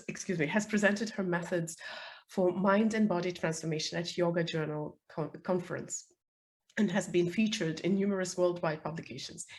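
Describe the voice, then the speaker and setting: A woman with a hoarse, worn voice from being sick, hosting a live online interview over a video call, chatty with many fillers. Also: on the low side, a little mellow. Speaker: host. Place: home, calling in.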